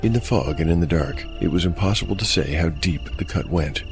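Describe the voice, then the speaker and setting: Low-voiced man narrating dark fantasy. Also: a little fast. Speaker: narrator and game master. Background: music.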